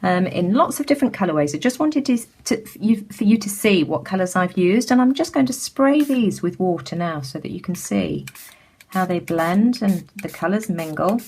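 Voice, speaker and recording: Croaky British woman chatting through a craft. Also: fairly low pitch, animated, moderate pace, a little mellow. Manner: chatty, many ums and restarts. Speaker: craft tutorial host. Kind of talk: casual craft demonstration voice-over. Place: home craft table.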